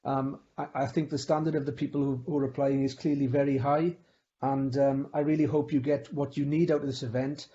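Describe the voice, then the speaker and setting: Brief: man with a mellow, slightly high voice, halting welcome over a lo-fi call. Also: level tone. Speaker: welcoming speaker. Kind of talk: webinar welcome.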